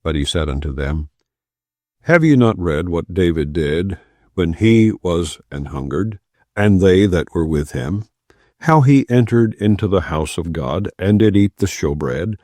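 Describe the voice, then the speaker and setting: A man giving a solemn, measured reading of scripture in archaic language. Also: low voice, warm tone, no room sound, studio quality. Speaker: Bible reader.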